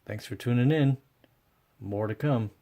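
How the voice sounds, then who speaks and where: A man narrating his own hands-on repair work casually and unscripted, thinking aloud in a halting way. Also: medium pitch, mellow. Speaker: host of a radio repair vlog.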